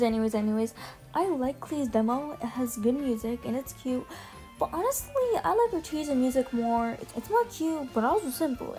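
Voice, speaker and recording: Higher-pitched, mellow young woman commenting casually. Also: low-quality recording. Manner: informal, slangy, emphatic. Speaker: video creator ranking game trailers. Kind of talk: casual opinion commentary, voice-over. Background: music under the voice.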